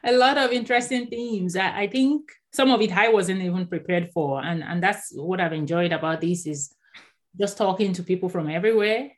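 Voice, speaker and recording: A Nigerian-born woman in her thirties whose first language is Yoruba, speaking as an author and guest in a conversational interview, animated and quick to laugh. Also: medium pitch. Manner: animated, laughs often, repeats words when starting a thought.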